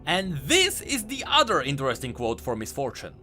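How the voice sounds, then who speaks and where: A man's voice: fairly high pitch, huge pitch swings, moderate pace, bright, forceful tone, faint music behind. A man hosting a scripted explainer video as a game lore commentator.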